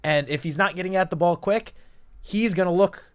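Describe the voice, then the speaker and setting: A man's voice: high-pitched, moderate pace, clean tone. A man co-hosting a sports radio show, laying out statistics and building a detailed argument. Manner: analytical, stat-driven, builds an argument. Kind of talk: sports analysis talk.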